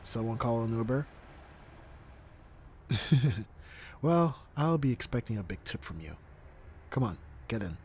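A man's voice: medium pitch, big pitch swings, unhurried, pauses often, darkish tone, a little hiss or hum. A young man acting as an easygoing, teasing best friend in a casual, intimate ASMR roleplay. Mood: playful, teasing.

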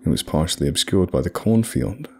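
A man narrating a horror story in a measured, scripted storytelling delivery. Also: deep voice, lively intonation, gravelly, breathy edge.

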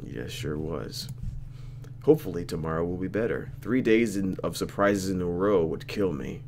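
A man's voice: sits low, normal speed, gravelly, darkish tone, a little hiss or hum. A young man doing a let's play, reading a visual novel aloud with playful, reactive commentary.

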